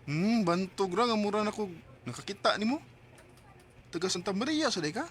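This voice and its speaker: A lovestruck, boyish country lad speaks in a lightly high voice with a Filipino accent. It is an eager radio drama performance on a clearly noisy recording. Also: male voice, lively intonation, a little fast, a bit bright. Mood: sweet, courting. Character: eager, boyish young rural man in love.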